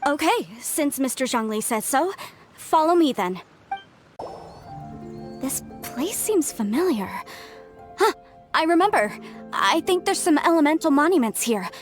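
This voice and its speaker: A high-voiced, earnest young archaeologist from a video game, idealistic and easily stirred. Faint game music sits underneath. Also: female voice, big pitch swings, measured pace, pauses often. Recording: game score playing faintly.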